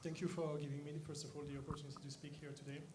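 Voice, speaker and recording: A young man from France with a French accent, answering stage interview questions thoughtfully with frequent pauses. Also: on the high side, level tone, a little mellow, a little hiss or hum. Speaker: interviewed guest, company co-founder. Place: conference arena stage.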